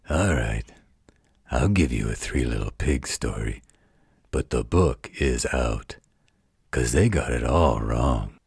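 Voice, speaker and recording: A man voicing a gruff, protective werewolf guardian in an intimate bedtime roleplay, coaxing with patient, mock-stern fondness. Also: a little mellow, no room sound, clean studio sound, full character voice. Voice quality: very deep, gravelly, husky. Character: gruff, protective werewolf guardian, fondly exasperated parental figure.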